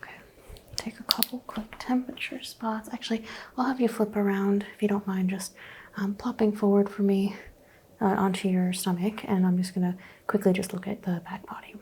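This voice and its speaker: Young woman, gentle whispered doctor roleplay, low-energy fry, mellow tone. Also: little pitch movement, a little fast. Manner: soft whispering, gentle questions. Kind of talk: ASMR medical exam roleplay.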